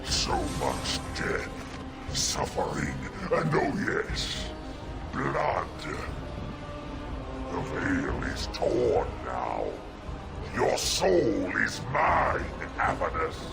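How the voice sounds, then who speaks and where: A gravelly male demon, gloating in a slow, heavy drawl that swoops from deep growls to sharp rises. The voice is low and rough. It sits in a roomy space under loud game music. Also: huge pitch swings, exaggerated voice. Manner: slow, drawn-out menace. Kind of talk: video game cut-scene acting.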